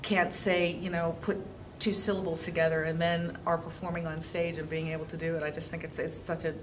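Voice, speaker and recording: A mature woman who teaches theater talks informally about her work. Her voice sits slightly low, with clear ambient noise around her. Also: flat delivery, brisk. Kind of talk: informal interview testimonial.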